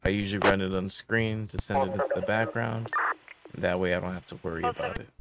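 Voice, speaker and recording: A man's voice: low voice, fairly even intonation, fast, very noisy. A man in his thirties giving a casual how-to explanation as host of a tech tutorial. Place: small indoor room with electronics gear.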